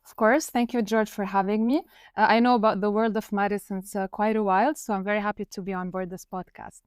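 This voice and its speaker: A woman in her thirties, a researcher from Lebanon, explaining her career in a measured way on a podcast. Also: medium pitch, flat delivery, clear, resonant tone, no room sound, clean studio sound. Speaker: guest researcher. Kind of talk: podcast interview.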